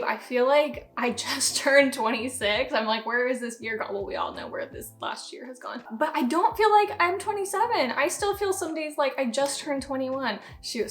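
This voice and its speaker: Young woman, late twenties, chatty upbeat vlogger, slightly high voice. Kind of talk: casual chat to camera. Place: home, sitting down.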